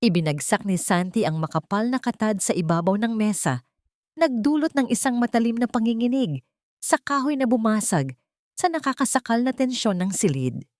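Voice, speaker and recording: A woman's voice: on the high side, lively intonation, moderate pace, a little mellow, no echo, clean studio sound. A woman reading scripted dramatic narration as the storyteller of an audio drama.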